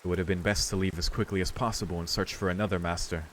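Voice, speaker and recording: A man with a fairly low voice reads a visual novel aloud in the casual way of a streamer. Rain from the game and slight noise sit behind his voice. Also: steady tone, fast, a bit bright. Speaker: video game streamer reading along.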